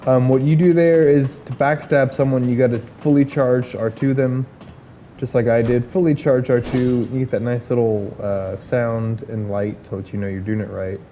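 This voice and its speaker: A man giving casual gameplay commentary, hoarse from illness, rambling with many fillers and restarts. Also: mid-range voice, fairly even intonation, moderate pace, warm tone, highs cut off, a little hiss or hum.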